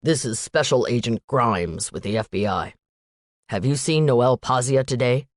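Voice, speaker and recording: A woman putting on a gruff male FBI agent. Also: extremely low pitch, expressive, moderate pace, no room sound, clean studio sound, pitched below the performer's own voice. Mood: curt.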